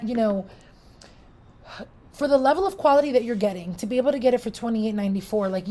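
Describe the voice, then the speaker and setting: A woman's voice: a little high, mellow. Chatty woman with a Puerto Rican accent. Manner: chatty and loose, with many fillers, restarts and asides to viewers. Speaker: product reviewer on a shopping livestream. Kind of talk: casual live product review.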